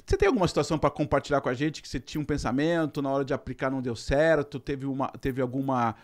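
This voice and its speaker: Fast-talking man hosting a chatty interview. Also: mid-range voice. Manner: quick, chatty, keeps checking in with "né?". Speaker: podcast host. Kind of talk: conversational interview.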